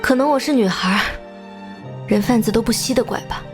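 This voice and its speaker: A woman playing a bitter, remorseful young woman in an animated drama, narrating her past in a measured, melancholy way. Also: fairly high pitch, faint music behind, lightly acted.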